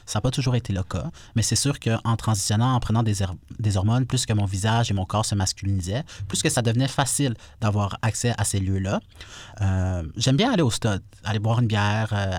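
Low-voiced Québécois man chatting. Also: no room sound. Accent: Quebec French. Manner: relaxed, frequent "euh" fillers. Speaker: guest co-host. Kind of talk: casual radio chat.

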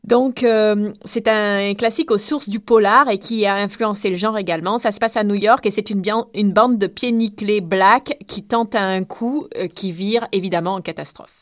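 A woman co-hosting a radio book show, presenting a classic crime novel in casual chat, lively and teasing with some fillers. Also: medium pitch, normal speed, few pauses.

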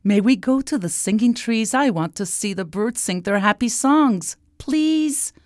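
A woman's voice: fairly high pitch, animated, unhurried, darkish tone, dry recording, clean studio sound, voice pushed up. A woman voicing a sweet, eager little girl princess full of wonder in a children's storybook. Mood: excited.

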